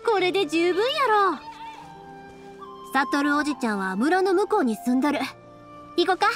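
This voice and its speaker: A woman's voice: high-pitched, very animated, moderate pace, music in the background. A woman playing a cheerful young fireworks maker in an anime game, breezy and friendly, with a Kansai accent. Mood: cheerful, helpful. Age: young adult. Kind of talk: Japanese anime game voice acting.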